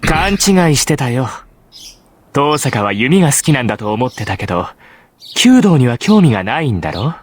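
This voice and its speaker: A man playing an arrogant, hot-tempered high school boy in a Japanese anime visual novel, talking in a smug, condescending way. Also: sing-song, fast, slight background noise, lightly acted.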